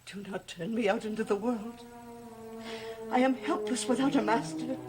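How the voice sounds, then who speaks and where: A woman's voice: on the high side, moderate pace, close to an everyday voice. Woman playing a melodramatic, scheming ancient servant of darkness. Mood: desperate pleading. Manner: melodramatic, imperious, formal phrasing. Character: scheming centuries-old female servant of dark powers, pleading and menacing. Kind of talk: 1960s gothic soap opera acting.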